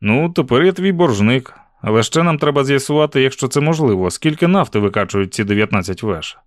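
A man's voice: mid-range voice, expressive, brisk, dry recording, studio quality, lightly acted. A man voicing a polite, earnest young envoy with boyish charm in an audiobook story.

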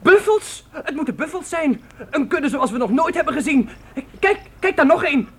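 An eager pioneer boy, full of awe and exclamation. The pitch swings widely, giving a very lively delivery. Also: normal speed, a little hiss or hum. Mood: excited. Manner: awed, exclaiming. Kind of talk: Dutch radio play acting.

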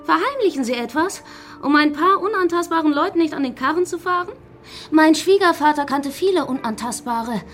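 An actress playing an imperious, suspicious daughter-in-law with a sharp, accusatory manner in a German radio crime drama. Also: female voice, sits high, expressive, fairly quick, faint music behind. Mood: indignant.

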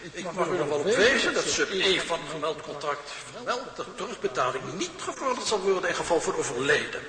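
Casting: a pedantic company official, radio drama, raised pitch, reverberant hall recording. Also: male voice, big pitch swings, brisk, a loud crowd. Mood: officious. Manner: pedantic, formal. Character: officious male shipping official reading out a contract.

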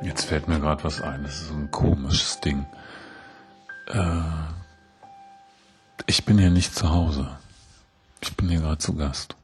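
A man's voice: a bass voice, steady tone, slow pace, long pauses, soft background music. A man thinking aloud to himself in hesitant fragments.

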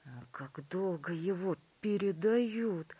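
A woman voicing a gloomy, sighing middle-aged father in a children's story, with a drawn-out grumbling delivery. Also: lowish voice, animated, dark tone, husky, exaggerated voice. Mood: bewildered.

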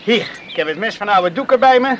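A man's voice: high-pitched, lively intonation, fairly quick, a little hiss or hum. An actor playing a roguish, carefree man, an island drifter and poacher in a radio play, jaunty and teasing. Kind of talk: Dutch radio play acting.

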